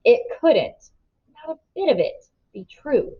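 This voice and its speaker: A woman reading a children's novel aloud in expressive storybook narration, on a slightly dull recording. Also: a little high, a little slow, husky.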